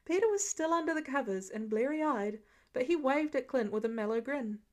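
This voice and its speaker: Woman reading a fanfic story out loud, voice on the high side. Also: lively intonation, normal speed. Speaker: podfic reader. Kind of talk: scripted story narration.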